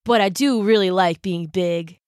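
A woman's voice: on the low side, normal speed, a little mellow, smooth, dry recording, clean studio sound, close to an everyday voice. Woman as an easygoing dude hermit crab. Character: laid-back friendly male hermit crab, dude-like.

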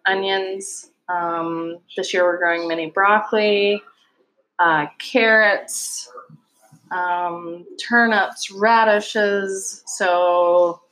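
A woman's voice: on the low side, fairly even intonation, extremely slow, a bit bright, low-quality recording. A woman in her thirties from Virginia, a vegetable farmer, chatting as an interview guest with many ums. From Virginia, USA. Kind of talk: casual interview chat.

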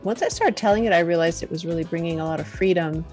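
Soft-spoken woman telling her story. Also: on the low side, fast, faint music behind. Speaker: podcast guest, confidence coach. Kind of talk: personal storytelling in an interview.

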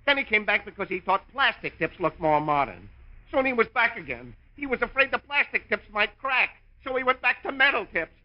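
A man's voice: sits high, very animated, fairly quick. An actor playing a nerve-shattered, agitated department store salesman in a 1950s radio comedy. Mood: mounting hysteria. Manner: agitated, building into hysterical shouting. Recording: dull lo-fi old radio broadcast.

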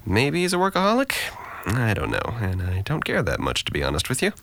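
Actor as a low-voiced, sardonic young man. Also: wildly swooping pitch, brisk, creaky, fairly bright tone, exaggerated voice. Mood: detached, weary. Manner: sardonic, deflecting, articulate. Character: Trent, sarcastic depressed young man, guarded and dryly witty. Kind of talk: audio drama dialogue.

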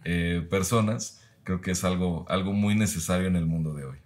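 A man, speaking as a podcast guest in a measured, reflective way with frequent pauses. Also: sits low. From Mexico. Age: 30s. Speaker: guest, university professor.

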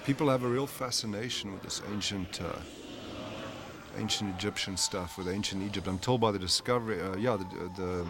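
A man's voice: on the low side, a little fast, background noise. A man, an actor in the film, chatting casually and jokingly while commenting on it. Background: film soundtrack music.

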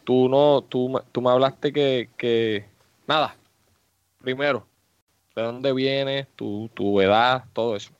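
A man's voice: mid-range voice, fairly even intonation, slow, clean tone, dry recording. A man hosting a podcast, asking questions in a loose, meandering way full of fillers. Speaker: podcast host. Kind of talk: casual podcast interview. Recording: slightly muffled, faint music behind.

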